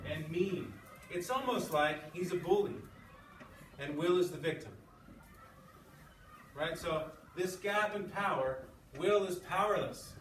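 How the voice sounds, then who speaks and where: A man's voice: a little high, fairly bright tone. A man teaching English to sixth graders, reading aloud and leading class discussion in an informal way, often checking with his listeners. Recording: echoey, noisy classroom, distant mic.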